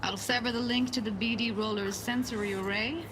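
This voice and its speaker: A woman's voice: a little high, steady tone, brisk, noisy background, lightly acted. A woman voicing a slangy, self-assured tech editor who talks someone through a video game tutorial in a casual, instructing way.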